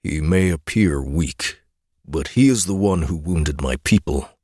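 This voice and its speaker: A man's voice: very deep voice, measured pace, darkish tone, no room sound, studio quality. A man voicing a brutish male beast-folk warrior in a fantasy audiobook, stern, loyal, terse and grave. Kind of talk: fantasy audiobook character acting.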